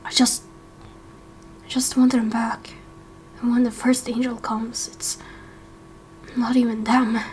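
A woman voicing a hesitant, apologetic young man in a game roleplay, speaking in halting, hushed phrases. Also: fairly high pitch, level tone, a little hiss or hum. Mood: remorseful. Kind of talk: Minecraft roleplay voice acting.

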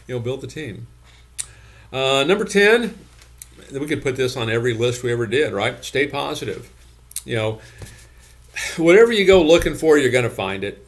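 Older man coaching, peppered with "okay?". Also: mid-range voice. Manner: folksy, repeats points, ends lines with "okay?". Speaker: gym business consultant. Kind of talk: talking-head business coaching. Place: home office with bookshelves, indoors.

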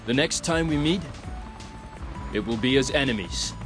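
An actor playing a cool, composed young male leader in a video game, speaking formally and with measured authority. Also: mid-range voice, lively intonation, over music, natural voice. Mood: coolly authoritative. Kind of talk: video game dialogue acting.

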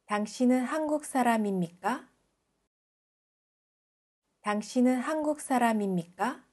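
A female language teacher reads scripted example sentences in a fairly high, mellow voice. She enunciates each one carefully and takes her time. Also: animated, measured pace. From South Korea.

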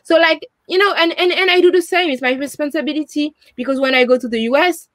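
A woman's voice: sits high, fast talker, fairly bright tone, dry recording. A young woman with a French accent, speaking earnestly on a video call as an interview guest, often restarting and adding "you know". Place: home room, indoors.

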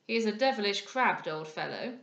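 A woman's voice: mid-range voice, a little fast, fairly bright tone, natural voice. A woman voicing a blustering, irritable male aristocrat in a period novel, brusque and scornful. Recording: echoey room.

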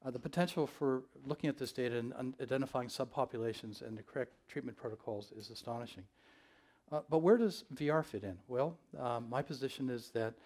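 An older man giving a conference keynote on a large stage, speaking in a measured, thoughtful way with frequent ums and uhs. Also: mid-range voice, darkish tone.

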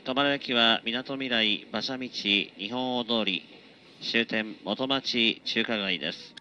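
A man's voice: mid-range voice, slow pace, sharp tone, highs cut off, a little hiss or hum. A man working as a train conductor, giving formal, polite, routine announcements over the public address.

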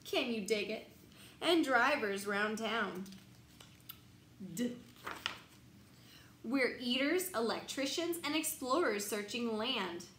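A young woman reads a bedtime story for children with very animated, swooping intonation. She takes her time, stressing words like a teacher. The room is noticeably echoey, recorded from a little way off. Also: fairly high pitch, takes it slowly, pauses often. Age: young adult. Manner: expressive, sing-song, teacherly with emphasized words. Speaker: picture book reader. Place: small glassed-in sunroom. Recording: roomy echo, light background noise.

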